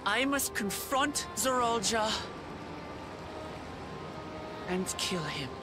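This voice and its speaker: A woman's voice: a little high, big pitch swings. Earnest young female ruler, music behind. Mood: grave resolve. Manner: measured, earnest, formal. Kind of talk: dramatic video game cutscene acting.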